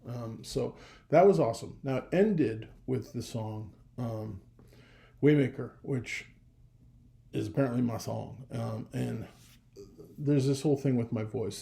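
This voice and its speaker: A young man telling a personal story in a casual vlog, rambling and animated, with a gravelly voice. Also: medium pitch. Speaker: vlogger.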